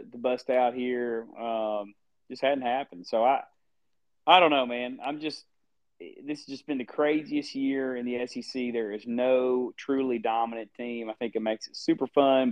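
A man's voice: mid-range voice, darkish tone, no echo. Give me a laid-back Southern man co-hosting a sports podcast, dry and slightly muffled. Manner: laid-back drawl, repeats phrases, jokey. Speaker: podcast co-host. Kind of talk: casual sports chat.

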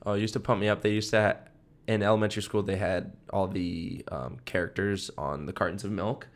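A man's voice: on the low side, steady tone, a little fast. A young American man co-hosting a podcast in casual chat, talking with enthusiastic, slangy phrasing. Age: young adult. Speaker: podcast co-host.

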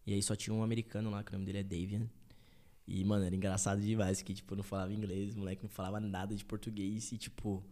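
A man's voice: on the low side, little pitch movement, racing, mellow. A young man, a podcast guest, chatting casually and telling stories loosely with lots of slang and fillers. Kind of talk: casual chat.

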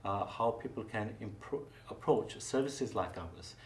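A man's voice: fairly low pitch, a little slow, far from the mic. A man hosting a community podcast interview, often summing up what the guest says and adding short agreements. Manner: frequent short backchannels, summarizing the guest. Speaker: podcast host. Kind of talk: conversational interview. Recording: echoey room, mic set back, faint noise.

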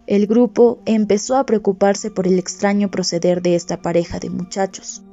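A woman narrates a folk story in a soft, dark-toned voice. The recording is slightly dull, and music plays quietly behind her scripted reading. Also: mid-range voice, steady tone, moderate pace. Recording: muffled audio with music underneath.

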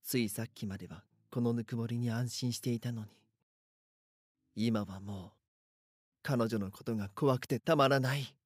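A man's voice: medium pitch, normal speed, husky, no room sound, clean studio sound, natural voice. A man playing a frightened, helpless schoolboy who narrates his own story in an audio drama. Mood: hopeless, resigned.

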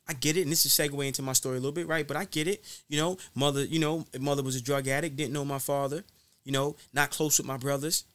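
Male interview guest, fairly high voice, dry close sound. Emphatic, preacher-like motivational delivery with repeated phrases. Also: steady tone, fast talker, fairly bright tone, no echo.

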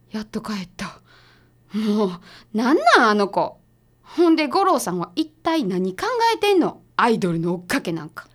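Woman acting a bossy, animated Kansai assistant. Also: mid-range voice. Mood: irritated. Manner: brisk, curt, huffy. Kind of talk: radio drama acting.

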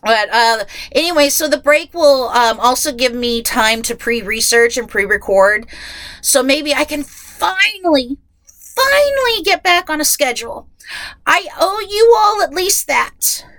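A woman's voice: high voice, expressive, a little slow, a bit bright, no room sound. A young adult woman hosting her podcast from a closet at home, stuffed up and a bit nasal from a head cold, chatting casually with many self-deprecating restarts. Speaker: podcast host.

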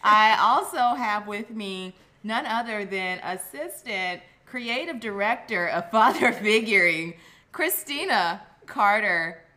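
A woman's voice: medium pitch, expressive, takes it slowly, pushed and bright. A woman hosting a casual family podcast, bubbly and chatty, laughing often and using fillers. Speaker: podcast host.

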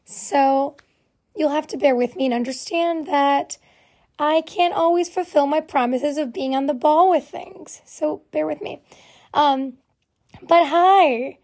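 A young woman hosts her own podcast, talking on and on in a loose, self-deprecating way. Her voice sits high but always sounds hoarse and raspy, like she's getting over a cold. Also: takes it slowly, a little mellow. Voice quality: hoarse, raspy voice from vocal cord nodules. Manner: rambling, chatty, self-deprecating with many fillers. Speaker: podcast host. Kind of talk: casual solo podcast chat.

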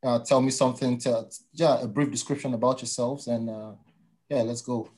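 A man's voice: medium pitch, fairly even intonation, normal speed, darkish tone. Male interview host, mid-range voice, casual and conversational.

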